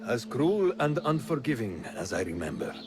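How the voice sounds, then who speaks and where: An actor playing a reflective, stoic rebel scout in a video game, with formal, deliberate delivery. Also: male voice, medium pitch, very animated, lightly acted. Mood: wistful, stoic. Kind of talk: video game cutscene acting.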